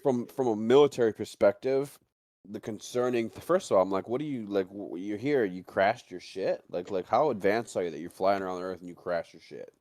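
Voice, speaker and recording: A man's voice: lowish voice, a little fast, a little mellow, no echo, studio quality. A man chatting casually, blunt and sweary, often repeating himself as he makes his point. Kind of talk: casual chat.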